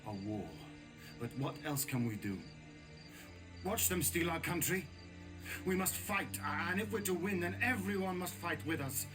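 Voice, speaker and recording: An actor playing an earnest, desperate resistance fighter pleading for help, in dramatic video game style. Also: male voice, high-pitched, animated, measured pace. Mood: earnest, urgent. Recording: music behind the voice.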